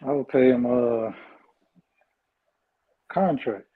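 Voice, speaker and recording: A man's voice: mid-range voice, takes it slowly. A man answering as a guest in a casual conversation, agreeable and brief, on dull lo-fi audio. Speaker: guest, small business owner being advised.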